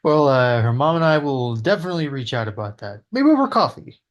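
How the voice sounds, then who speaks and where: A man's voice: medium pitch, sing-song, a little fast, lo-fi sound, close to an everyday voice. A man reading an awkward polite stepdad. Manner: hesitant, politely placating. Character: polite awkward stepfather, middle-aged man. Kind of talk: amateur table read.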